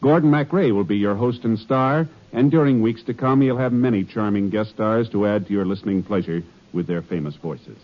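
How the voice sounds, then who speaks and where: An American man working as a 1950s radio network announcer, reading scripted copy in a polished, formal, measured promotional delivery. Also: fairly low pitch. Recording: dull 1950s radio recording, faint music.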